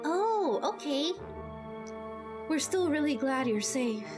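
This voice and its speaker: A woman doing amateur game dubbing as a plucky, excitable young female trainee fighter. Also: high-pitched, slow, music in the background, full character voice. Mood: excited, relieved.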